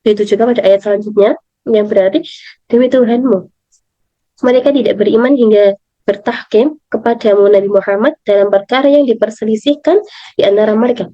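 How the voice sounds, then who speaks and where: A young woman with an Indonesian accent and a hoarse voice, reading a study presentation aloud carefully, as a student. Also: mid-range voice, fairly quick, warm tone. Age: young adult. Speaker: university student. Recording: dull lo-fi, slightly echoing room.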